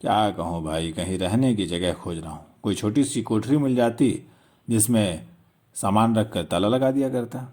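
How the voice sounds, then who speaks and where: A man's voice: fairly low pitch, moderate pace, darkish tone, lightly acted. A man voicing a poor, melancholy man who protests in a baffled, resigned and plaintive way in an audio story. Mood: dejected.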